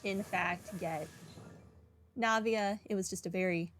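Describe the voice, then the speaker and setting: A woman's voice: medium pitch, fairly even intonation, measured pace, fairly bright tone. A woman streamer giving casual, rambling game commentary in a dry, wry manner. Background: game music.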